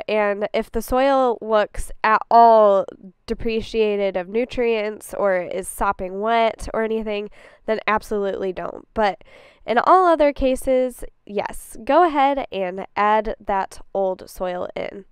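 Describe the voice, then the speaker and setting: A woman's voice: medium pitch, slow pace, smooth, no room sound, clean studio sound. A young woman talking casually as a gardening vlogger, chatty and upbeat, a little stuffy from a cold. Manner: chatty and upbeat, laughs easily. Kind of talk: casual vlog talk to camera. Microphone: camera on a tripod.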